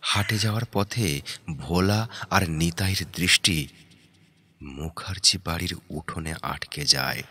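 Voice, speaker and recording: A man narrating a horror story in a dramatic, suspenseful way, in short halting phrases. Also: low voice, slight background noise. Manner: suspenseful, halting short phrases, dropping to hushed breathy tones. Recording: background music under the voice. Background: prominent music.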